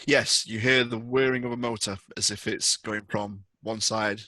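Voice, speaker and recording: A man's voice: on the low side, steady tone, moderate pace, bright, forceful tone, dry recording. A man improvising narration as game master of a tabletop roleplaying game.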